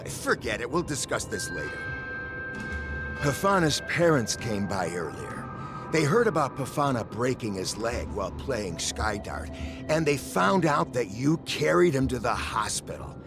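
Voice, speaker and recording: Ruthless, proud businessman dad for a game; high-pitched, theatrical, very slow drawn-out delivery. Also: big pitch swings, vocal fry, over music, heavily acted. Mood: calmer, smug. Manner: theatrical, blustering, drawn-out emphasis. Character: scheming, bombastic middle-aged businessman father, ruthlessly proud. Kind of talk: video game voice acting.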